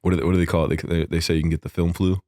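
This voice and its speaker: Man, very low voice, enthusiastic, rambling, casual podcast co-host, dry close sound. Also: flat delivery, rapid-fire, darkish tone, no echo. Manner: enthusiastic, bro-ish, rambling. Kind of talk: casual chat.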